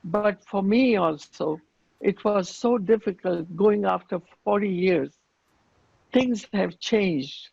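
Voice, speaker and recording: An earnest woman speaks slowly, with a gravelly, fry-tinged voice. Her answers come with frequent pauses and fillers. The sound is dry and muffled, like lo-fi video call audio. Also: mid-range voice, darkish tone, no echo. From Afghanistan. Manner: earnest, unhurried, many fillers. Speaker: panelist running girls' schools. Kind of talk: panel discussion answer.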